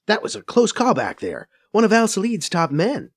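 A man puts on a cocky, foul-mouthed young female agent in an audiobook, pitched up high with wild swings in tone. She teases, swears and fires off explanations in a quick, sharp-tongued way. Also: breathy edge, exaggerated voice. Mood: brisk, explaining. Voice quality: raised, lively, cocky voice. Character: brash, profane, teasing female undercover agent. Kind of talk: audiobook character voice.

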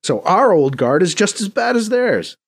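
An impatient, irreverent former pope from an audiobook, played by a male narrator. His pitch is raised and swoops widely, and he talks in blunt, sarcastic exclamations. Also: big pitch swings, normal speed, creaky, slightly rough, heavily acted. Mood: exasperated.

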